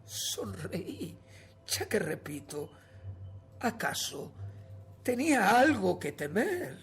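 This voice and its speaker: A Uruguayan actor playing a paranoid, guilt-ridden murderer in a theatrical radio horror monologue, with intense, halting delivery. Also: male voice, high-pitched, huge pitch swings, a bit bright, slightly rough, exaggerated voice. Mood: smug, uneasy. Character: guilt-maddened murderer confessing, paranoid, increasingly frantic man. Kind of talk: theatrical dramatic reading of a horror story.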